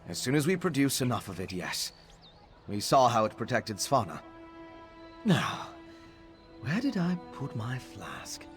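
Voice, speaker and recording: A man's voice: medium pitch, big pitch swings, measured pace, long pauses. An actor playing a wry, self-mocking male vampire scholar in a video game, with background music. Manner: wry, self-deprecating, musing.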